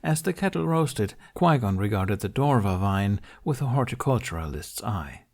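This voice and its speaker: A man's voice: on the low side, very animated, moderate pace, a little mellow. A man reading fiction aloud as a scripted story narration. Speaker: audiobook-style reader of fan fiction.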